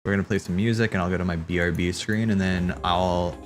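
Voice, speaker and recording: A man with a low voice hosts a livestream with faint music playing behind him. He speaks informally and slangily, thinking out loud as he rambles through his commentary. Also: steady tone, fast talker. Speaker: livestream host. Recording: background music.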